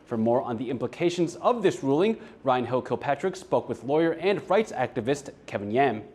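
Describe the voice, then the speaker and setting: A man anchoring a television news program, reading scripted stories in a crisp, formal way. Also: medium pitch, sing-song, moderate pace, darkish tone.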